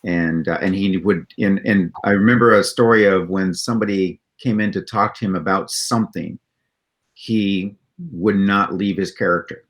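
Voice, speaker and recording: A man with a lowish voice co-hosts an online live talk. He speaks casually and without a script, as in a discussion with a colleague. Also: fairly even intonation, normal speed. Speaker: livestream co-host.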